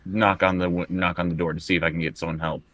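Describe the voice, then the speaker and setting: A man's voice: low-pitched, flat delivery, quick pace, a bit bright, no room sound. A man chatting casually as a tabletop roleplaying player, thinking aloud and working through his choices bit by bit.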